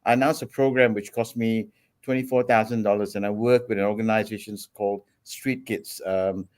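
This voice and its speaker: A man in his forties from Singapore, speaking as a guest entrepreneur in an interview. He tells his story in a relaxed, steady way, with frequent ums and self-corrections. His voice sits fairly low. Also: brisk.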